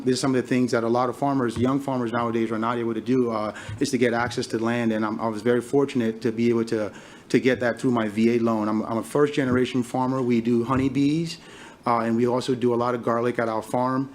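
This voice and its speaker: A man from Liberia in his thirties, a farmer giving a conference talk, speaking haltingly with many fillers and restarts. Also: medium pitch. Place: conference hall stage.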